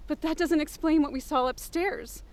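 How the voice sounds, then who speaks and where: Suspicious female companion, high register, insistent, probing, audio drama delivery. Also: normal speed, a little mellow. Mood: suspicious, worried. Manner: insistent, questioning. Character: suspicious, pressing female companion demanding answers.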